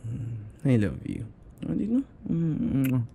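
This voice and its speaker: A man with a Filipino accent playing a shy, affectionate boyfriend in his twenties in an intimate ASMR roleplay, speaking softly and hesitantly. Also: mid-range voice, sing-song, vocal fry, a little hiss or hum. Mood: teasing, affectionate. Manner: soft, hesitant, halting, slipping between Tagalog and English.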